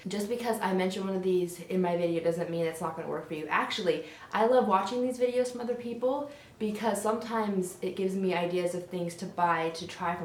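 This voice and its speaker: A young woman vlogging about beauty products, her voice a little raspy and hoarse as she gets over a sore throat, chatty and frank. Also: lowish voice, slight background noise. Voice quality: slightly raspy. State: hoarse, recovering from strep throat. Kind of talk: casual product review to camera. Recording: roomy echo.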